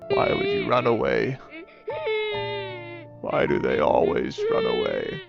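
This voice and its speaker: Male actor as possessive vampire doctor, creaky voice with wild pitch swings, dull recording. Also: wildly swooping pitch, takes it slowly, full character voice. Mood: menacing pleading. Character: lovesick, menacing male vampire plague doctor. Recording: dull game clip under loud music. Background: loud music.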